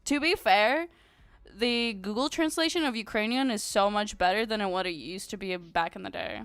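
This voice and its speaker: A young woman chats with her stream audience in a bubbly, easygoing way, full of giggles and filler words. Her voice is smooth and clear, with medium pitch. It is recorded dry and close, with faint music playing underneath. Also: moderate pace, fairly bright tone, no echo. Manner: bubbly and chatty, many "like"s and giggles. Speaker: VTuber streamer. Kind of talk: casual live-stream chat with viewers.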